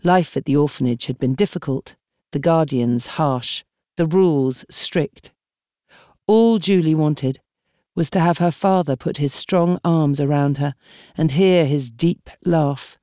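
A woman reading a novel as an audiobook narrator, in measured, expressive storytelling. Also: sits low, dry recording, studio quality. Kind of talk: scripted literary narration. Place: dry studio.

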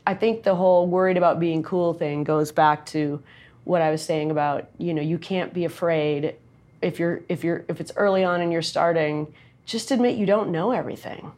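A woman with a nasal, loud voice talking candidly and chattily in a casual interview, with quick asides. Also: lowish voice. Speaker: songwriter and recording artist guest.

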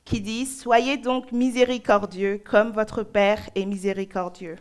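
A woman's voice: mid-range voice, fairly even intonation, clean tone. Nigerian-born woman preaching in short phrases. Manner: speaks in short phrases, pausing for an interpreter. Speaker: preacher giving a testimony. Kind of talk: sermon in French.

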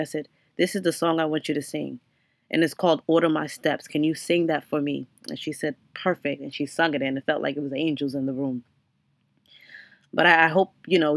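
A young adult woman hosting her own podcast, talking alone in an earnest, reflective way with frequent ums and restarts, her voice slightly hoarse. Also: low-pitched, no echo. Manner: many ums and restarts, faith-centered, earnest. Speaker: podcast host. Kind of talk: reflective solo podcast monologue.

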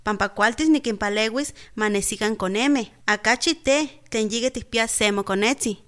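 A woman's voice: a little high, normal speed, fairly bright tone, close to an everyday voice. A woman playing a frank, experienced village midwife in an educational radio drama, with a Mexican accent. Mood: assertive.

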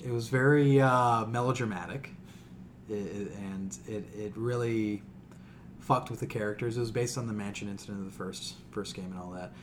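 A man's voice: on the low side, normal speed, noisy background. A man co-hosting a podcast in casual chat, opinionated, slangy and given to swearing. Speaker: podcast co-host.

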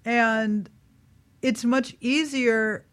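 A woman's voice: on the high side, steady tone, drawn-out words, dry recording. A woman speaking earnestly and emphatically in a casual radio discussion, repeating phrases for emphasis.

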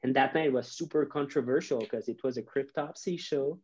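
A man in his thirties with a mellow, dark voice, telling stories in a loose, rambling way and restarting his sentences. The audio is dull and slightly muffled. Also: medium pitch, fairly quick, breathy edge. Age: 30s. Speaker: musician, guest. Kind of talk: casual chat, storytelling.

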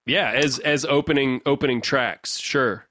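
A man's voice: mid-range voice, a little slow, pushed and bright, dry recording. Dry-voiced enthusiastic man chatting. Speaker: podcast co-host. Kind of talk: casual chat.

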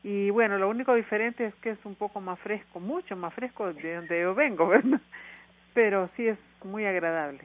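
A woman's voice: mid-range voice, normal speed. A woman with a Honduran accent, speaking earnestly as an interview guest over the phone, with frequent hesitations. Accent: Honduran Spanish. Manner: earnest, steady, many hesitations. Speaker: NGO worker, interview guest. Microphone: telephone. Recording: tinny dry phone line.

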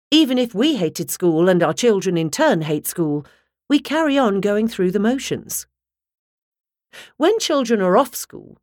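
A woman reading a nonfiction audiobook in a measured, articulate way, close to the microphone. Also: on the low side, a little mellow, dry recording. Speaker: audiobook narrator. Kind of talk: scripted nonfiction audiobook narration.

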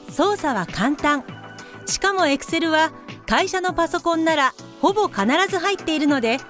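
Female narrator acted, high register, courteous instructional delivery for an office comedy radio play, music underneath. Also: fairly bright tone. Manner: polite, instructional. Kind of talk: scripted explanatory narration for a comic radio drama.

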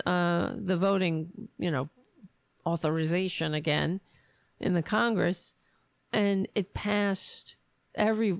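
A woman hosting a solo political commentary show, ranting angrily with profanity while her voice is going hoarse. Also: lowish voice, dry recording, studio quality. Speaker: host.

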